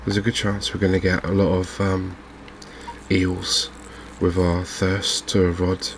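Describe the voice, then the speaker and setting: A man with an English accent giving gentle, unhurried, softly spoken ASMR commentary on a video game. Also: sits low, monotone, a little hiss or hum. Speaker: gaming video host. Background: fishing game ambience.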